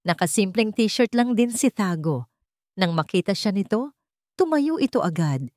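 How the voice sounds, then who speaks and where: A woman narrating a scripted romance story in a dramatic, measured way. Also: medium pitch, big pitch swings, darkish tone, no room sound, clean studio sound.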